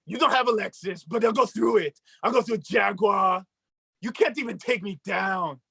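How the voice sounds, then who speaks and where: A man voicing a boastful mustached male puppet who shouts and brags like a cartoon villain, with a gravelly, husky voice. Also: sits high, normal speed, no echo, studio quality, heavily acted. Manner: shouting, bragging, mock-villainous. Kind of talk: comedic puppet bit on a talk show.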